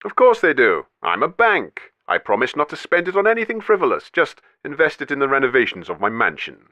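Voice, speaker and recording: Man doing a condescending old banker, lively pitch, dull muffled sound. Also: a little fast, no echo. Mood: haughty. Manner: haughty, condescending. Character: pompous, greedy old male banker. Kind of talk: comedy radio sketch.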